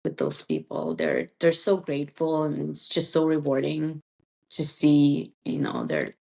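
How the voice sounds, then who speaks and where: A woman's voice: low voice, very animated, unhurried, gravelly, a little mellow. A woman whose first language is Russian, chatting casually and informally as a real estate agent being interviewed.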